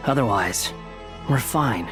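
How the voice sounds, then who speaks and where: Grim, terse adventurer, mid-pitched. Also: male voice, animated, takes it slowly, over music. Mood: grim, practical. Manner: terse, matter-of-fact. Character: grim, practical male adventurer. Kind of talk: dramatic game voice acting.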